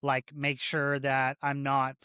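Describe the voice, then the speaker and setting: A man's voice: medium pitch, completely flat delivery, slow, pushed and bright, smooth, no echo, studio quality. A man in his thirties from Canada, a corrections officer guest talking casually and rambling, with many "like" and "right?" fillers. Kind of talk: casual interview conversation.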